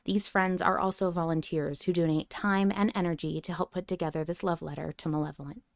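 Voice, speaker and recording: A woman hosting a casual fan discussion podcast, theorizing in an animated way with some swearing. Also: lowish voice, a little fast, vocal fry, dry recording, clean studio sound. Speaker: podcast host.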